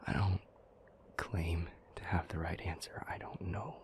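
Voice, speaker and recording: Male actor as grave demon lover for audio roleplay: deep, slow, half-whispered, troubled but powerful. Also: steady tone. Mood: brooding, doubtful. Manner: slow, deliberate, halting phrases. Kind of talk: intimate audio roleplay acting.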